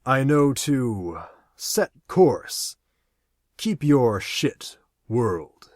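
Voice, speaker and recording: Reader voicing a cold, indifferent man: deep, flat, mechanical, unhurried. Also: dry recording, studio quality.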